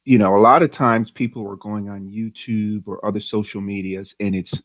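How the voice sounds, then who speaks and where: Male podcast co-host, low-pitched, relaxed and rambling; dry, dull lo-fi audio. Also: moderate pace, no room sound. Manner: easygoing, chatty, long rambling questions. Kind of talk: casual interview hosting.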